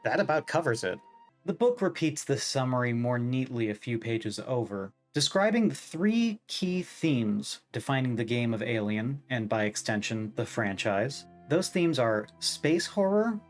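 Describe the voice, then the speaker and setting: A man's voice: medium pitch, lively intonation, measured pace. A man narrating a scripted video essay in a wry, emphatic way, given to swearing. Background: background music.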